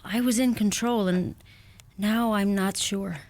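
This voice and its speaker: A woman's voice: mid-range voice, fairly even intonation, unhurried, a little mellow, close to an everyday voice. Self-possessed young woman played by an actress. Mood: candid, tender. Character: self-possessed, tender young American bride-to-be. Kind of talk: radio play acting.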